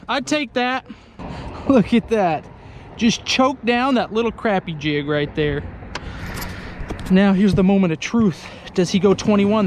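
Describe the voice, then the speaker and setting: A young man with a fairly high voice talks to camera from a small fishing boat on an open lake. His chat is loose and casual, and it turns loudly excited whenever a fish bites. A faint outdoor hiss sits behind him. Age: young adult. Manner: chatty, excitable when fish bite. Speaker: fishing vlogger. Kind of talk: casual outdoor vlog commentary. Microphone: action camera on the boat.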